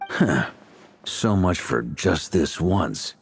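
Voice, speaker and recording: A man's voice: sits low, lively intonation, extremely slow, creaky, slight background noise, full character voice. A man playing an affable, slightly awkward knightly leader in a video game, easygoing and expansive. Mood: casual. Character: affable, casual male knightly leader hosting a gathering.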